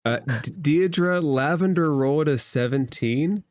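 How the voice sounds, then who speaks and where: A man's voice: fairly high pitch, a little slow, darkish tone, no echo. A man running a tabletop role-playing game as the game master.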